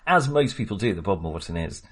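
A man's voice: sits low, animated, brisk, breathy edge. A man co-hosting a casual film discussion, chatty and hesitant, with many fillers and restarts and frequent laughs. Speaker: podcast co-host.

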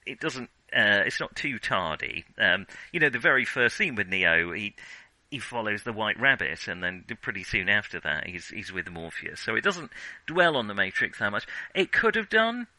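A man's voice: lowish voice, very animated, moderate pace, pushed and bright, dry recording, rough recording. A man co-hosting a podcast, talking casually about films in a hesitant, digressive way as he thinks aloud. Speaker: podcast co-host. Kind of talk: casual film discussion.

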